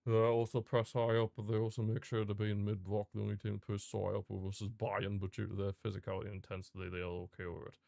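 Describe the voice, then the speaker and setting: A man's voice: fairly low pitch, little pitch movement, fast talker, vocal fry, few pauses, a little mellow, no echo, studio quality. A man reacting live to a football match as a streamer, ranting and calling out to his chat. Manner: passionate, frustrated fan outbursts and rants; talks to live chat viewers.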